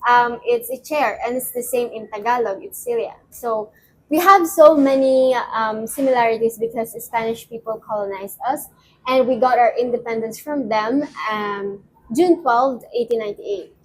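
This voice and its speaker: Young woman, from the Philippines, higher voice, chatty co-host, faint background noise. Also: moderate pace, poor audio. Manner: explanatory, with fillers like "um" and "like". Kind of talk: casual chat.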